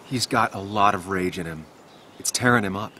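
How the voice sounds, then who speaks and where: A man playing a sombre, remorseful figure in a video game, speaking in a grave, understated way over a faint rumble. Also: moderate pace, sharp tone. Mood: grave, quiet. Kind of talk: dramatic video game acting.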